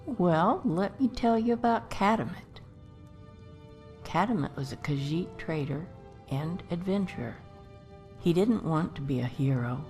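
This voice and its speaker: Casting: actress for elderly grandmother adventurer, game companion, low register, leisurely storyteller, feisty but grandmotherly, faint music underneath. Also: animated, unhurried, darkish tone. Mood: reflective storytelling. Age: older. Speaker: companion character in a game mod. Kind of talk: voiced game character dialogue, storytelling.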